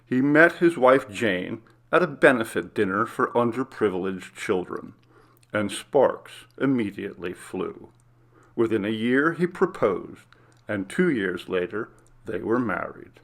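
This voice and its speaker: Slow, gravelly male story narrator. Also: on the low side, animated, fairly bright tone.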